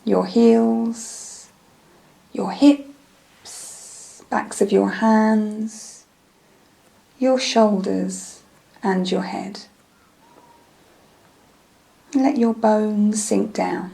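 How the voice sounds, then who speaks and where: A woman leading a guided meditation in a gentle, soothing, unhurried manner, with phrases that trail off softly. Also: mid-range voice, fairly even intonation, low-quality recording. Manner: soothing, gentle, unhurried instruction with soft breathy phrase endings.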